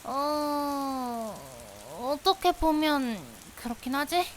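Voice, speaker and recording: A woman playing a persistent young female investigator in a visual novel, playful and sulky, with a wheedling, pouty, expressive delivery. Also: sits high, drawn-out words. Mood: insistent, evasive. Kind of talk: visual novel voice acting, anime-like drama. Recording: hiss with steady rain behind.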